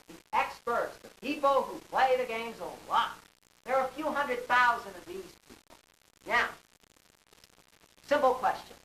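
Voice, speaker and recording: A man's voice: very high voice, bright, forceful tone, distant mic. A man in his forties giving a theatrical conference talk, with dramatic, emphatic phrasing and rhetorical questions. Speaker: conference speaker, game designer. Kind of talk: theatrical lecture to an audience. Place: carpeted hotel conference room. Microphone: distant camera microphone. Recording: hissy, echoey camcorder recording from afar.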